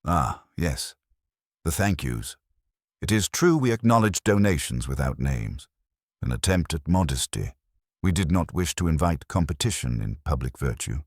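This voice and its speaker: A man plays a gently evasive village vicar in a mystery story. The voice is extremely deep yet moves about in pitch. He is wordy and mild, forever apologising for himself, and recorded dry and close. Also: big pitch swings, normal speed, no room sound, exaggerated voice. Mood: mildly defensive. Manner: wordy, mild, self-deprecating.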